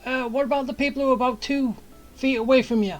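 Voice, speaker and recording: High-voiced woman giving casual game commentary. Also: steady tone, quick pace, faint music behind, low-quality recording. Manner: dry, sarcastic asides. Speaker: streamer.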